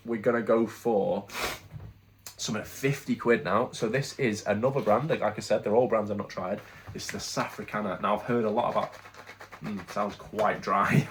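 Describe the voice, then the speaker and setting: British man, lowish voice, stuffy from a cold, chatty candid review, rambling restarts. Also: fairly bright tone, slight background noise, poor audio. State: congested from a cold. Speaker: product reviewer, channel host. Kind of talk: casual spoken review.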